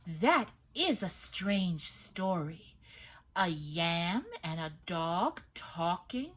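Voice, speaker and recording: A woman voicing a calm, puzzled man carrying cloth, speaking slowly and soothingly with some doubt. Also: lowish voice, heavily acted.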